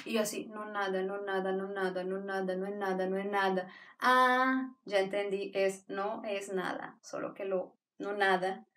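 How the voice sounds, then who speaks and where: A woman's voice: mid-range voice, flat delivery, moderate pace, distant mic, noisy background. A woman giving casual book recommendations, enthusiastic and rambling, often restarting her sentences. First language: Spanish. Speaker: book vlogger. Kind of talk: casual book review talk.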